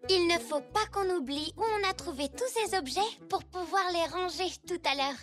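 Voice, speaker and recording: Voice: extremely high pitch, normal speed, faint music behind. A performer voicing a sweet, helpful young zebra girl in a children's cartoon, with a childlike manner. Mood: curious. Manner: bright, childlike. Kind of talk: French children's cartoon dialogue.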